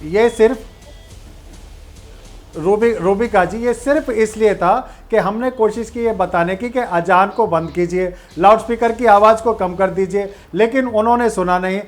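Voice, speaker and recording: A man's voice: high-pitched, normal speed, faint music behind. A man arguing as a party spokesperson in a political debate, firm and emphatic as he explains.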